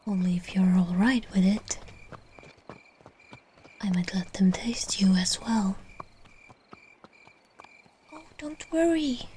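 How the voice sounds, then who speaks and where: A woman playing a gentle, doting aristocratic female vampire in an ASMR roleplay, whispering softly in a coaxing, genteel manner. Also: medium pitch, a little hiss or hum, close to an everyday voice. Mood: teasing, fond.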